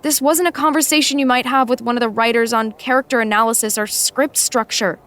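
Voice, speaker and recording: An actress as a female assistant with a fairly high voice, blunt and exasperated with her boss. She speaks firmly and articulately, with dry sarcasm, in naturalistic audio drama style. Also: steady tone, fairly quick, a bit bright, lightly acted. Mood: firm, scolding. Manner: firm, articulate, reproving, dryly sarcastic.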